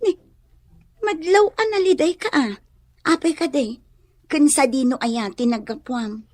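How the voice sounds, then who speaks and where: Kindly young friend, Ilocano accent, fairly high voice, encouraging, radio drama, faint music. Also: female voice, unhurried, darkish tone, dry recording. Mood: friendly surprise.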